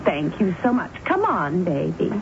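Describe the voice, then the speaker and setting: An actress playing a flirtatious, self-pitying sister who coaxes and pleads, in a 1970s radio drama. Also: female voice, lowish voice, wildly swooping pitch, measured pace, gravelly, full character voice. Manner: coaxing, pleading, breezy. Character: vain flirtatious married woman, self-pitying and wheedling. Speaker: the narrator's sister. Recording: muffled old radio broadcast with faint hiss.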